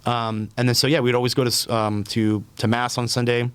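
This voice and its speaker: Man in his thirties, relaxed chatty guest. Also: mid-range voice, a little fast, smooth, dry recording. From Puerto Rico. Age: 30s. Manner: relaxed, many fillers and restarts. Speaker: podcast guest. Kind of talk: casual conversational interview.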